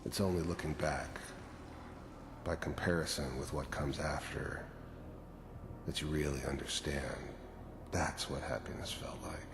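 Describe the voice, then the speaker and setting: An actor playing a weary, guilt-ridden hardened killer in a video game, gravelly, brooding and matter-of-fact as he reminisces. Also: a bass voice, fairly even intonation, a little slow, long pauses. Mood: bitter, wistful. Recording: echoey, noisy game audio.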